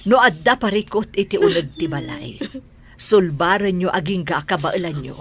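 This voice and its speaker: Low-voiced, animated motherly elder. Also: normal speed, noisy background. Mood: gentle concern. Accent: Ilocano. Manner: soothing, advising. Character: caring older mother figure counselling a young woman. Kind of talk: Ilocano radio drama acting.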